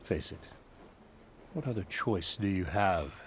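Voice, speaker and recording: An actor playing a pompous, blustering middle-aged labor boss who talks in grand, flattering, evasive turns in a video game. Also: male voice, low-pitched, a little slow, a little hiss or hum.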